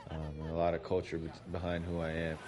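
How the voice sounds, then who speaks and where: A man's voice: low voice, monotone, fast talker. A young man in his twenties, a basketball player, speaking plainly and reflectively in a documentary interview. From United States. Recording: music playing under the voice.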